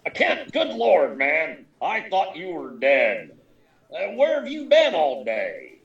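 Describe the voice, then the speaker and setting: A man playing a blustery, excitable newspaper editor with a gravelly voice and sputtering, halting speech, in 1940s radio style. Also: high voice, recorded from a distance, dull audio, exaggerated voice. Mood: startled, impatient. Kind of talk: 1940s radio drama acting. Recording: roomy echo, off the mic.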